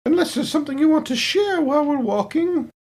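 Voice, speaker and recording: A woman playing a suspicious, smooth-talking female space station agent with arch, hesitant politeness in a tabletop game. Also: high-pitched, expressive, fairly quick, a little mellow. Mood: arch, coy. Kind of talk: improvised tabletop roleplay.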